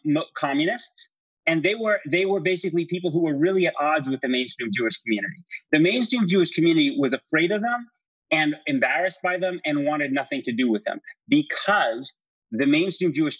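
A man's voice: on the high side, fairly bright tone, husky, no room sound, poor audio. A man speaking as an interview guest, explaining politics and history in an articulate, discursive, emphatic conversational way. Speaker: interview guest, writer and commentator.

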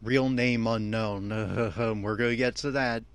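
A man's voice: on the low side, fairly even intonation, slow, smooth, dry recording. A man giving off-the-cuff, opinionated audio commentary on an anime episode, speaking emphatically.

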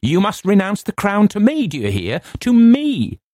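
A man's voice: low voice, measured pace, darkish tone, dry recording, studio quality. A man voicing a deluded, raging young man who proclaims himself king, in a grandiose, imperious audiobook reading. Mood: angry, commanding. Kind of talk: dramatic audiobook character reading.

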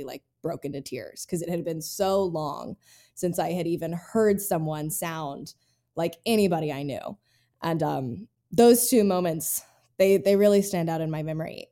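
A woman's voice: lowish voice, warm tone. A woman from North Carolina hosting a podcast, telling personal stories in an earnest, reflective way. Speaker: podcast host. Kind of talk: casual interview chat.

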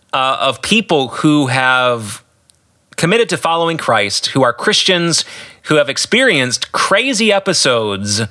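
Male podcast host, higher-set and very bright voice, earnest explanatory commentary. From United States. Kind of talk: solo podcast book commentary.

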